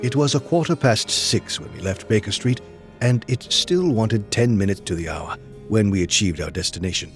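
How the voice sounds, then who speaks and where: An earnest gentleman companion, acted by a man, telling a detective story in an audio drama. The voice is on the low side and measured, loyal in tone, with soft music underneath. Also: lively intonation, close to an everyday voice. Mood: reflective, measured. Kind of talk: audio drama narration and dialogue.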